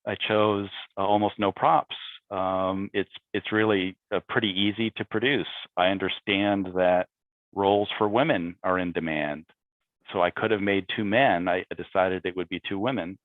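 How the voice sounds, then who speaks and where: A man speaking as a playwright in a talkback, reflecting on his process in halting, thoughtful phrases. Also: low voice, steady tone, dry recording. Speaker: playwright on a panel. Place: remote video call. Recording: phone-like narrow-band video call audio.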